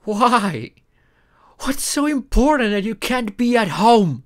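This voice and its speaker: A voice actor plays a hurt, frustrated partner in an intimate ASMR roleplay. The delivery is slow and emotional, with a mid-pitched voice that swings widely as they plead and care. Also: very animated. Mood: angry, hurt. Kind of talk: intimate ASMR roleplay voice acting, one side of dialogue.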